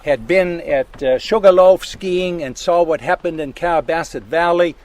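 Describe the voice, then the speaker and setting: An older man with a German accent, a landowner explaining his forest outdoors in a halting way with many pauses and restarts. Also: on the high side, a little mellow. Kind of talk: casual on-site explanation.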